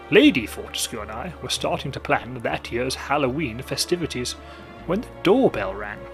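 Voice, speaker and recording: Gentleman narrator, theatrical and measured, over steady music. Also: mid-range voice. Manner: theatrical, genteel, measured storytelling. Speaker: storyteller host. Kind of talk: scripted narration. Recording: music behind.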